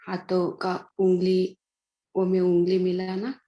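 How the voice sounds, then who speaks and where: A woman teaching guided meditation, giving gentle step-by-step instructions with pauses. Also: fairly low pitch, completely flat delivery, muffled recording.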